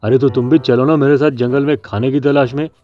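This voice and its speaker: A quick-witted, friendly little animal from a kids' cartoon story, acted by a man. He talks politely and persuasively in a mid-pitched voice, slightly dull in sound with faint music behind. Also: fairly even intonation, natural voice. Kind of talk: children's cartoon story acting.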